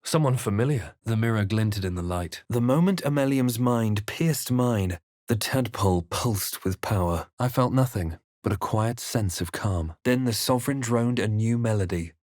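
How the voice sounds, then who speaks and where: A man playing a composed, brooding fantasy adventurer in a video game, telling events in a measured, dramatic way. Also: on the low side, a little mellow, no room sound, clean studio sound, lightly acted. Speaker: player character voice. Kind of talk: scripted game narration and character lines.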